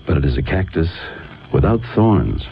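An actor playing a suave, tender sorcerer in love, speaking smoothly and courteously in a 1970s radio drama. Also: male voice, rumbling low, very animated, normal speed, heavily acted. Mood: tender, romantic. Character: suave tender sorcerer lover, a grown man. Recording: phone-like old radio recording, loud music.

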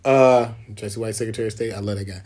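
A man's voice: fairly low pitch, fairly even intonation, quick pace, a little mellow. A man, co-hosting a casual chat and telling laid-back stories full of acted-out quotes. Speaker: podcast co-host.

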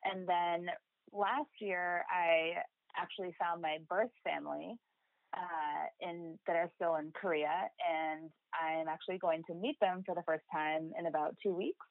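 A woman's voice: lowish voice, fairly even intonation, measured pace, dry recording. A woman from Ohio, a podcast guest, talking casually and hesitantly with frequent fillers. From United States (Ohio). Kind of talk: casual conversation. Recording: thin phone-like line, dry.

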